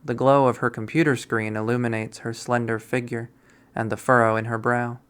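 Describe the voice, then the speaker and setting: A man narrating a visual novel readthrough in a deliberate, storytelling manner. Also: medium pitch, little pitch movement, a bit bright, clear, resonant tone. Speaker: game streamer reading a visual novel aloud.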